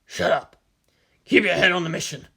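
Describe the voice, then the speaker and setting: A narrator acting a gruff, forceful military squad leader. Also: mid-range voice, normal speed, exaggerated voice. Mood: gruff, commanding. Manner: growling, shouted commands. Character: gruff, aggressive male special forces team leader. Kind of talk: dramatic audiobook character voice.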